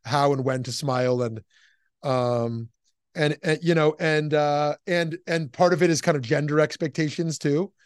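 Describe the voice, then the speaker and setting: A man leading an informal class discussion as a rabbi and teacher, speaking haltingly and often repeating his words and phrases. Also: on the high side, fairly even intonation, clean tone, no echo, studio quality.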